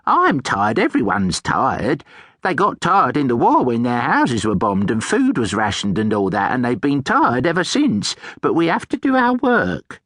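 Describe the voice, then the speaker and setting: A man voicing a fussy, excitable old hag of a witch with a shrill, exclaiming voice. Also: measured pace, no echo, studio quality, exaggerated voice. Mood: fretful.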